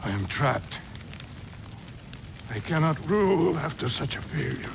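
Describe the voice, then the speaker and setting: Gravelly, defeated warlord. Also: male voice, a little high, very animated, measured pace, slight background noise, heavily acted. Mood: defiant, impassioned. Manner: grave, solemn, declamatory. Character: proud, defeated middle-aged Japanese warlord facing death. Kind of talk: dramatic video game cutscene acting.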